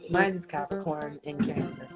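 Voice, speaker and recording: A woman's voice: deep voice, steady tone, normal speed, music in the background. Giggly woman in her late twenties. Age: late 20s. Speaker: guest. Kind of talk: casual group video call. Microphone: video call.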